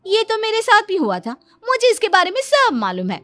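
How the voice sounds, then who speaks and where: A woman's voice: very high voice, huge pitch swings, moderate pace, a bit bright, soft background music, voice pushed up, exaggerated voice. A woman voicing a boastful young daughter-in-law in a cartoon, eager, insistent and self-assured. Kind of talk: exaggerated cartoon family-drama acting.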